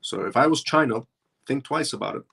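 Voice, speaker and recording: A man's voice: medium pitch, expressive, a little fast, creaky, a bit bright, breathy edge. A man originally from Iran, hosting a live show and talking through his points in a rambling way that circles back on itself. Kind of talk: casual livestream commentary.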